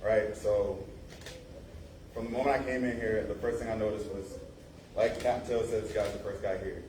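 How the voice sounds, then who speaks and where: A man's voice: lowish voice, fairly even intonation, normal speed, a little mellow. Earnest man speaking in an echoey room. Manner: casual and earnest, many fillers like "man" and "dude". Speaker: colleague giving a farewell speech. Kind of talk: informal ceremony speech. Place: large room at a ceremony. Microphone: no mic, recorded from a distance. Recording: echoey room from a distance, with noise.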